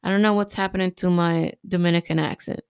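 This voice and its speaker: A woman's voice: on the low side, steady tone, fast, clean tone, no room sound, studio quality. A woman who speaks Spanish as her first language, hosting a casual, encouraging podcast monologue full of fillers and little laughs. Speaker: podcast host.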